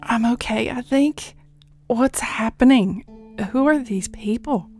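A bewildered young girl in a dramatized audiobook. Her voice is weak and mellow, moving slowly and uncertainly. Gentle music sits underneath. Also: a little high, husky. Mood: weak, confused. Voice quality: weak, soft.

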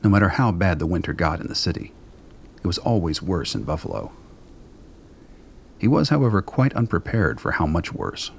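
Male narrator for a radio play: very low, creaky voice, measured dry delivery, literary storytelling. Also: big pitch swings, slight background noise. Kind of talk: scripted radio drama narration.

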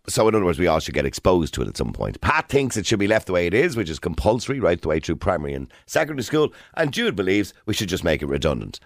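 An Irish man hosting a radio phone-in, quick and animated as he steers a debate and jokes with callers. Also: low-pitched, dry recording, studio quality. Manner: animated, interrupting, quick banter. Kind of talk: lively talk radio debate. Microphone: studio microphone.

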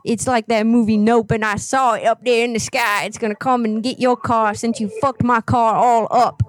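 A woman's voice: on the high side, little pitch movement, normal speed, natural voice. A woman voicing a foul-mouthed, angry old woman prank caller who rambles threats and curses. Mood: furious. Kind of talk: prank phone call.

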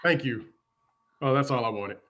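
A woman's voice: a bass voice, moderate pace, a bit bright, muffled recording. A woman improvising a comedy sketch as an exasperated, oblivious, churchgoing wife.

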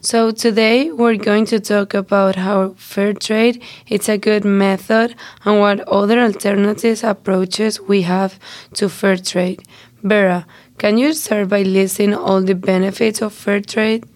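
A woman's voice: mid-range voice, little pitch movement, a little slow, darkish tone. A young woman from Spain hosting a student podcast, reading prepared lines evenly. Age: young adult. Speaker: host. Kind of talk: student podcast, read from notes.

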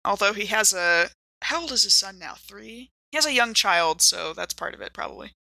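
A woman's voice: medium pitch, animated, moderate pace, gravelly, sharp tone, no room sound, low-quality recording. A woman chatting casually and quippily as a podcast co-host. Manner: joking, quippy. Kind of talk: casual chat.

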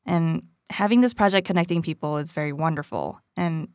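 A woman's voice: low-pitched, brisk, darkish tone, dry recording. A woman hosting a podcast, moving between scripted personal narration and interview talk. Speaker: podcast host.

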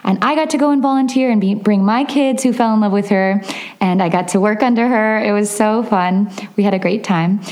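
A woman's voice: mid-range voice, fairly quick, darkish tone. A woman in her thirties from Los Angeles County, hosting a podcast in upbeat, chatty conversation and laughing often. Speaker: podcast host. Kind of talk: casual interview chat.

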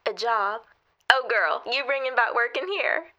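A woman's voice: fairly high pitch, lively intonation, moderate pace, sharp tone, highs cut off. An actress playing a chatty, nosy and friendly receptionist who calls callers honey and girl, in a comedy sketch.

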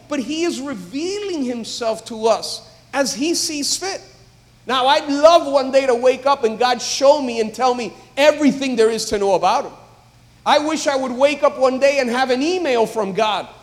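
A man, a pastor, preaching a sermon in a church, building from calm talk to emphatic, loud exhortation. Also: very high voice. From United States. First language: English. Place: church sanctuary. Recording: long reverb of a large hall.

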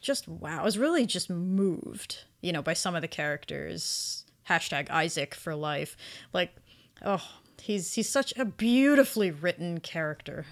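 A woman's voice: on the low side, animated, measured pace. A woman co-hosting a casual podcast discussion, effusive and emphatic, repeating words for stress. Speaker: podcast co-host reviewing anime.

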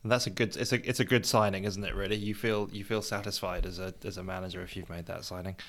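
Male co-host, slightly low register, informal conversational presenting. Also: flat delivery, fast talker, a bit bright. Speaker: co-host of a cricket history podcast. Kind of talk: conversational review and questioning.

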